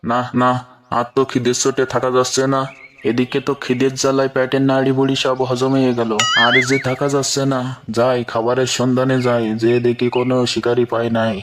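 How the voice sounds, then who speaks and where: A man's voice: mid-range voice, monotone, a little fast, slight background noise, natural voice. A man voicing a hungry, sly, grumbling tiger in a children's cartoon. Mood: hungry, scheming. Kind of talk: Bengali children's cartoon dubbing.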